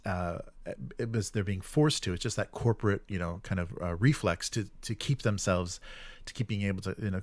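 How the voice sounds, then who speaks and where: A man hosting a casual livestream, giving opinionated commentary in a halting, rambling way. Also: lowish voice, no echo. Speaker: live show host.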